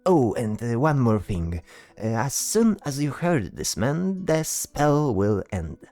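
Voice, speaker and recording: A man's voice: mid-range voice, sing-song, slow, warm tone, no room sound, soft background music, voice pushed up. Man acting a chatty, swooping fantasy bard. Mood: pleased, courteous. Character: chatty, polite, hesitant male bard spellcaster. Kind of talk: fantasy audio drama dialogue.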